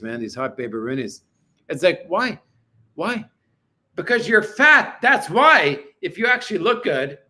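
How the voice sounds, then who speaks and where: A man in his forties talks casually on a livestream from his apartment, answering viewers' comments. His voice is a little high and bright, with a forceful edge. He is crude and blunt, with plenty of swearing. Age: 40s. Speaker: livestream host.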